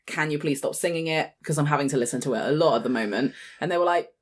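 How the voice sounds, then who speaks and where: A woman's voice: low-pitched, fast, husky. A British woman in her late twenties chatting casually as a podcast co-host, in a chatty, informal way. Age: late 20s. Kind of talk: casual chat.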